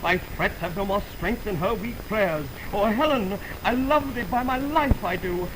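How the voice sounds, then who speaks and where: A man's voice: high voice, moderate pace, close to an everyday voice. A man playing an ardent, impetuous young lover in theatrical, declamatory 1920s radio Shakespeare style. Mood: defiant. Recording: noisy 1929 radio broadcast on optical film.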